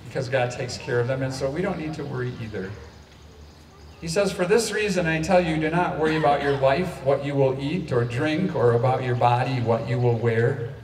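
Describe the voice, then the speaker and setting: An American pastor in his sixties speaks to a congregation with measured, declamatory delivery. His voice comes from a distance over speakers at an outdoor service, with a long reverberant tail and clear background noise. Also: mid-range voice, a little mellow. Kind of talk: reading scripture and addressing a congregation at a church service. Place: outdoor lawn service beside a church. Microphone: handheld microphone through speakers, picked up from afar.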